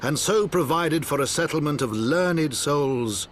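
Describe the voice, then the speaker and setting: A solemn male chronicler of a fantasy game world. He narrates very slowly, in stately and poetic phrasing. Faint ambient noise sits behind him. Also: fairly high pitch, animated.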